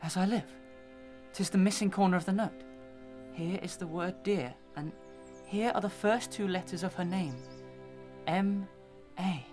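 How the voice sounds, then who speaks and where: A woman playing a sharp, solemn accuser in a Victorian melodrama, speaking in a formal, declamatory way. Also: lowish voice, measured pace, soft background music, close to an everyday voice. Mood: triumphant discovery. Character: sharp, solemn accuser examining murder evidence. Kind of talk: Victorian melodrama audio acting.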